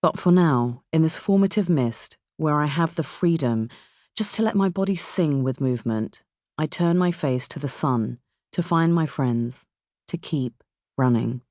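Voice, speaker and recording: A middle-aged woman from England with a southern English accent reads a memoir as its author, in a measured, expressive way. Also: low voice, dry recording, clean studio sound. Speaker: author narrating own audiobook. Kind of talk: scripted memoir narration. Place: dry recording studio.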